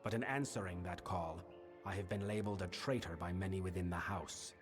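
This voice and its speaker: A male actor voicing a grave, burdened fae king in a fantasy game, speaking formally and in a measured, solemn manner. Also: deep voice, close to an everyday voice. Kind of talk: scripted fantasy game dialogue. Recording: long reverberant hall, music behind. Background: music.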